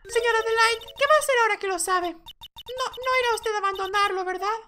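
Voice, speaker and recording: A man's voice: very high-pitched, a little fast, bright, forceful tone, slight background noise, voice pushed up, full character voice. A man voicing a cheerful, supportive teenage girl assistant in a game. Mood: worried.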